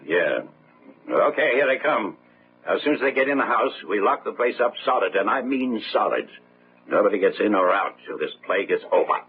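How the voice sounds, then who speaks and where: An actor playing an older, gray-haired multimillionaire patriarch in a radio drama, gruff, bossy and full of contempt. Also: male voice, lowish voice, lively intonation, normal speed, lightly acted. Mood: brisk, commanding. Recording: muffled phone-like old radio sound, faint noise.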